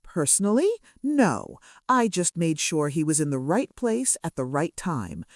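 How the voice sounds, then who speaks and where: A woman's voice: sits low, very animated, unhurried, darkish tone, dry recording, studio quality, exaggerated voice. A woman voicing a clinical, sardonic male kidnapper who speaks with casual menace in a romance audiobook. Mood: callous, sardonic. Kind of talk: romance audiobook character dialogue.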